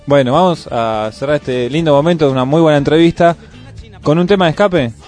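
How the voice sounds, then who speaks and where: A man's voice: a little high, a little fast, a little mellow, faint music behind. A man with an Argentine accent hosting a casual radio interview. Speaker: radio show host.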